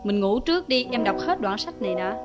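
An actress playing a dignified young wife who speaks in a measured, gracious way yet stands firm. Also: female voice, medium pitch, animated, over music, close to an everyday voice. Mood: gentle. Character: dignified young wife, gentle but defiant.

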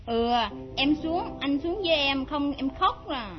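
An actress playing a clingy young second wife in a Vietnamese melodrama, coy and whining. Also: female voice, high voice, animated, unhurried. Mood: coy, pleading. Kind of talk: Vietnamese stage melodrama acting. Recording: dull lo-fi recording over music.

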